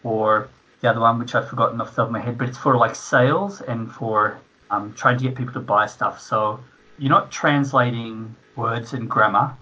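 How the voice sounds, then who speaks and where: A man working as a translator, explaining his work in a relaxed, casual interview. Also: medium pitch, moderate pace, breathy edge, dull audio.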